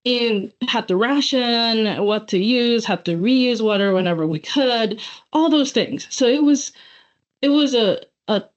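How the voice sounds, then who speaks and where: A woman in her forties from Japan, giving a webinar lecture as a guest professor in a chatty way, with many fillers and self-corrections. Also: medium pitch. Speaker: guest speaker, associate professor. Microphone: computer mic on video call. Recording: dull lo-fi call audio.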